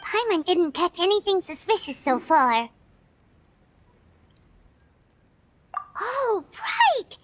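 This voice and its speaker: A woman voicing a tiny, childlike fairy companion in a video game, squeaky and earnest. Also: huge pitch swings, unhurried, faint music behind, heavily acted. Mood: pondering. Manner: refers to self by name. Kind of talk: animated video game voice acting.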